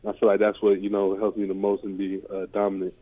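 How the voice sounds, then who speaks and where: A man's voice: low-pitched, completely flat delivery, fast talker. A man in his twenties from the United States, an athlete giving informal interview answers full of "you know" and "like" on a conference call. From United States (California and Ohio). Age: 20s. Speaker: American football player, linebacker. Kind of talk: casual press interview answers. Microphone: remote conference call. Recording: dull, lo-fi conference call audio.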